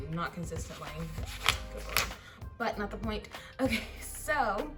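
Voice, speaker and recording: A woman in her twenties chatting to camera for her vlog, a little hoarse, with lots of "like" and "you know". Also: lowish voice, recorded from a distance. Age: 20s. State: slightly hoarse. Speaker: vlogger. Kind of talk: casual chat to camera.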